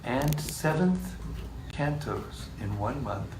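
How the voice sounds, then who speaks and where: A man leading a scripture class, reading passages in a measured way and joking with the group between them. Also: medium pitch, lively intonation. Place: room with a live group. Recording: echoey room, distant mic, faint hiss.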